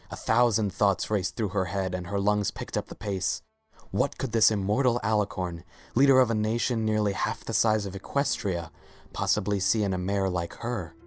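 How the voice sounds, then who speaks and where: A man's voice: fairly low pitch, no echo. A man giving a scripted dramatic reading as the narrator of a story. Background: faint music.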